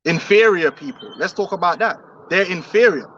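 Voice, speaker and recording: A man's voice: sits high, lively intonation, normal speed, a bit bright, highs cut off, slight background noise. A man preaching outdoors on the street, emphatic and repetitive, often laughing between his points.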